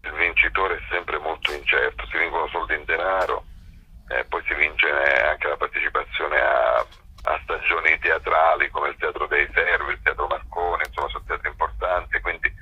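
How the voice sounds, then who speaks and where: Very deep, raspy man. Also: fairly even intonation, moderate pace, highs cut off. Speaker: theatre festival director being interviewed. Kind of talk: casual spoken interview.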